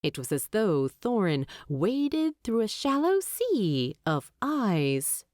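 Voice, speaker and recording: Female audiobook narrator, expressive, unhurried, sweeping pitch swings, very little room sound. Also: no echo, clean studio sound. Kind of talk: scripted fiction narration.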